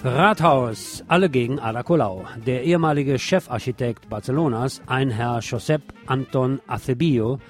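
A man presenting scripted local news with opinionated commentary, in the role of a radio show host. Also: medium pitch. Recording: music playing behind the voice.

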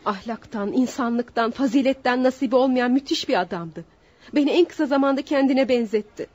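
Accused wife, high voice, emotional and pleading, radio courtroom drama, dull lo-fi recording. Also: female voice, a little fast, a little mellow. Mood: sorrowful confession. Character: defiant, remorseful young woman on trial for killing her husband.

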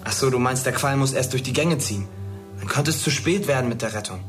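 A man's voice: medium pitch, fast, faint music behind, close to an everyday voice. A young man playing an earnest, pushy teenage schoolboy in a naturalistic radio drama, talking colloquially and urgently to persuade. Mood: anxious, insistent.

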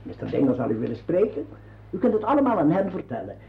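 A man's voice: fairly high pitch, sing-song, fast, vocal fry. A man acting a fussy, eager sidekick in a radio play, gushing and over-polite with a sly streak. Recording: muffled old radio recording.

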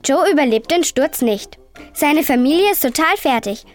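A woman's voice: high-pitched, animated, moderate pace, soft background music. A woman playing a sassy, eager young girl on a scripted children's radio show. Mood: earnest. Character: bossy, chatty young girl recommending books.

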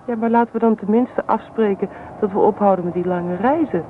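A woman's voice: medium pitch, brisk, lightly acted. Woman acting plaintive young wife, tinny recording. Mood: pleading, longing. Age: young adult. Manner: plaintive, reproachful. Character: hurt, practical young wife longing for a home. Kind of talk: Dutch radio play acting. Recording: noisy, thin, phone-like old radio recording.

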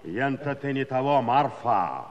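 A man's voice: medium pitch, expressive, unhurried, long reverb tail, muffled recording, natural voice. An actor playing a bossy, blustering middle-aged Cossack village chairman, hemming and drawling in a comic radio play. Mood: placating, dismissive.

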